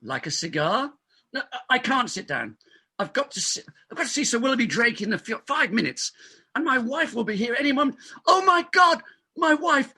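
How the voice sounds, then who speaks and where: A man's voice: extremely high pitch, sing-song, moderate pace, pushed and bright, slightly rough, full character voice. A man playing a pompous, evasive middle-aged London doctor, blustering and stammering through a comic stage play reading. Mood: panicked, blustering.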